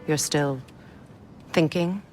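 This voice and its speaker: An actress playing a candid, dry-witted warrior goddess in a cinematic game, speaking in an unhurried, pointed way. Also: female voice, low voice, a little mellow, soft background music. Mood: guarded.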